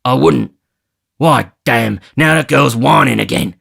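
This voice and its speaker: Cursing, surly thug of a housebreaker, ill and short-tempered, as a man reads him, low creaky voice with a loud, harsh bark. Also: fairly even intonation, fast talker, full character voice. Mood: savage, impatient. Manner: snarling, cursing, impatient. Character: sick, brutal, surly male housebreaker.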